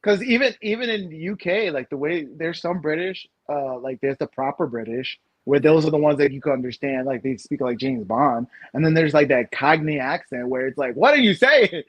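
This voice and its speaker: A man in his thirties from the United States, co-hosting a casual podcast chat, animated and rambling with lots of fillers and restarts. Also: a little high, moderate pace, no echo, highs cut off. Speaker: podcast co-host.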